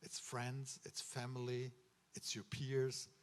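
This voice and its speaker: A man with a German accent, a medical school official giving a ceremony speech to students in an earnest, advising way, often pausing on uh and restarting words. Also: mid-range voice, completely flat delivery. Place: ceremony hall.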